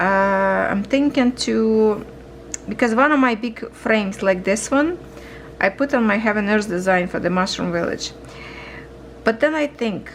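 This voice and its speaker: Slow-talking woman with a gravelly, kinda hoarse voice, chatting to viewers while she stitches, faint hiss behind. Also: mid-range voice, low-quality recording. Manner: slow, musing, talks to viewers while working. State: losing her voice, coughing. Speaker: cross-stitch vlogger. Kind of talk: casual chat while stitching.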